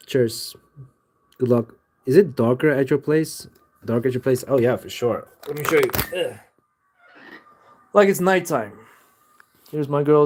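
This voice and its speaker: A man's voice: medium pitch, a little mellow. A young man, chatting loosely and profanely with viewers on a livestream from his home art studio. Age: young adult. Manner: rambling, loose and profane, with many "like" fillers. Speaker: livestreamer and artist. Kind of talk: casual livestream chat answering viewers.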